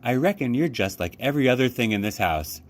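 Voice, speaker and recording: A man's voice: medium pitch, lively intonation, fast, natural voice. A man voicing a brusque, eager housemaid in a storybook, with a folksy, no-nonsense manner. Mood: brisk, bossy.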